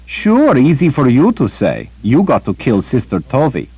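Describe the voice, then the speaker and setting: A man's voice: medium pitch, huge pitch swings, moderate pace, mellow, breathy edge, no echo, pitched above the performer's own voice, heavily acted. A man voicing a young woman warrior, blunt and loyal, with a wry manner.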